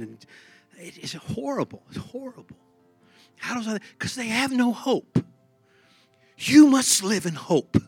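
Texan pastor guy preaching, bright forceful voice, dry close sound, faint music behind. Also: high-pitched, no room sound. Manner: emphatic, conversational preaching with repeated phrases. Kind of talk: sermon.

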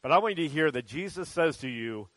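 A male pastor speaks to the children of his church, telling a story in a loose, chatty way full of ums and uhs. His voice sits a little high for a man. The sound is dry and close. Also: lively intonation, fast talker, fairly bright tone, no echo, low-quality recording. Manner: casual storytelling, many fillers. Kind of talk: church service sermon to children.

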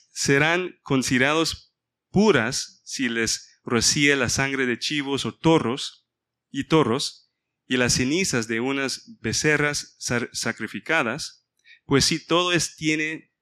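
Bright-voiced man leading a Bible study. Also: mid-range voice, slow pace. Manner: mixes in English words, stops to ask the group for help. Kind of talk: informal Bible study sermon.

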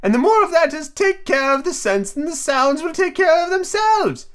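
Storybook Duchess, shrill-high pitch, slow emphatic drawl, pompous, moralizing, eager to agree. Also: female voice, expressive. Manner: drawn-out, emphatic pronouncing of morals.

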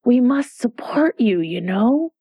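A cooing, flustered old queen with a soft, breathy voice that jumps high into shrill cries, as a woman puts her on. Her pitch swoops all over, and the sound is dry and close. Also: expressive, slow, no room sound, muffled recording, full character voice. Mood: whispering.

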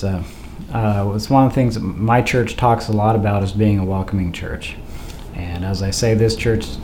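Man, low mellow voice, hoarse from a cold, hesitant with fillers, faint wind noise behind. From Idaho, USA. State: has a cold, losing his voice. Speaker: podcast host. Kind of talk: casual monologue.

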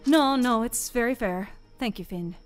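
A woman's voice: on the high side, normal speed, smooth, lightly acted. A young woman playing a bitter but dutiful barmaid in a fantasy audio drama. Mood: anxious, appeasing. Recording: loud music under the voice.

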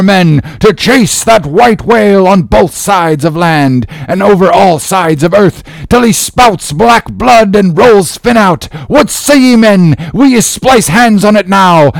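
Here is a man performing a fiery, grandiose old whaling captain from a classic storybook, bent on revenge. He declaims in archaic, impassioned phrases, raised in pitch and swinging widely. The recording is close and dry. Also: expressive, a little slow, gravelly, a little mellow, dry recording, full character voice. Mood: roaring defiance. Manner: declamatory, archaic thee-and-thou speech, impassioned. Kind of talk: dramatic classic novel reading.

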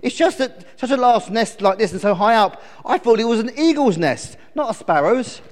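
A friendly little storybook sparrow, acted out by a man, chirps in a very high, bouncy voice. He is eager and polite. Long reverb trails the words. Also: animated, normal speed. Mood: cheerful, curious. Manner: cheerful, chirping, apologetic. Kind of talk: children's storybook character voice.

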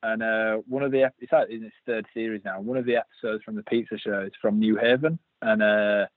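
A man's voice: fairly low pitch, steady tone, fairly quick, no echo. A man with a Yorkshire accent, a guest chatting casually and easily in a remote interview, a bit self-deprecating. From England. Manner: easygoing, chatty, self-deprecating, many fillers. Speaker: guest, brewery designer. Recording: dry, phone-like line.

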